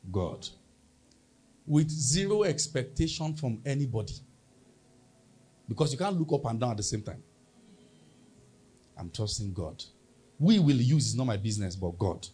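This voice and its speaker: A man's voice: mid-range voice, darkish tone, slightly rough. A man with a Nigerian accent preaching a sermon as pastor, emphatic and leading the congregation in call-and-response.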